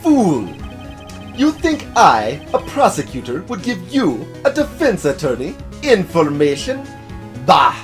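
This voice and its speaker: A man's voice: sits high, huge pitch swings, takes it slowly, faint music behind, full character voice. A man voicing an arrogant, menacing older male prosecutor in a video game, pompous and theatrical, full of contempt. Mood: scornful.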